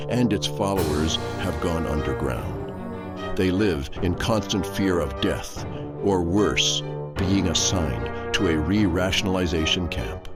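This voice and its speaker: A man acting as the grave narrator of an audio drama, reading a dramatic scripted recap. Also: low-pitched.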